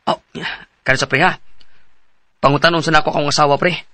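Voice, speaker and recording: A man's voice: fairly high pitch, fairly even intonation, fast talker, bright, forceful tone, a little hiss or hum. An actor with a Cebuano Filipino accent playing an agreeable married man in his thirties in a radio drama, polite and earnest. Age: 30s. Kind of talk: radio drama acting and narration.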